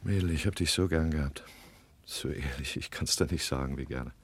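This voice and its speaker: Very deep gravelly wistful lover. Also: male voice, fairly quick, full character voice. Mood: tender, pleading. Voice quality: gravelly, husky. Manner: tender, folksy, wistful. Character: older married man, wistful, tender, still in love. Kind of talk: German radio drama acting.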